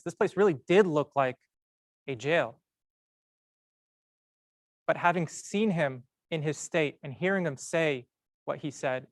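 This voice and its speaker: A young man giving a prepared, storytelling talk at a medical school event, measured and earnest with slow emphatic pauses. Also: fairly high pitch, fairly bright tone, no room sound. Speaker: medical student speaker.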